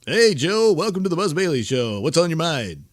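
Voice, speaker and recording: A man's voice: on the high side, sing-song, moderate pace, no room sound, clean studio sound. A man playing a chipper, unflappable call-in show host in a talk radio parody. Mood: upbeat.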